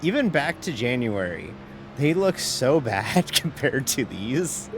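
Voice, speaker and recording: Male streamer, casual chat mid-race, loose filler-packed rambling with offhand swearing, mid-pitched. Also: big pitch swings, slow pace, over music. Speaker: sim racing streamer. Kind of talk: casual live-stream chat while racing.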